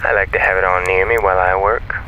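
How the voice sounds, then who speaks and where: An actor playing an easygoing, quirky space comms monitor, folksy and rambling, over a radio link in an audio drama. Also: male voice, deep voice, steady tone, quick pace, bright, forceful tone, a little hiss or hum, poor audio. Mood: playful, musing. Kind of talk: scripted sci-fi audio drama acting.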